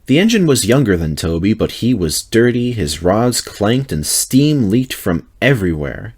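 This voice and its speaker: A man's voice: fairly low pitch, big pitch swings, moderate pace, darkish tone, breathy edge. A man reading a children's story aloud as narrator, in a scripted, dramatic storytelling style.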